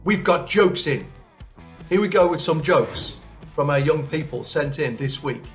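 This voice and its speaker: A man's voice: a little high, expressive, measured pace, soft background music. A man presenting and reading storybooks expressively for children.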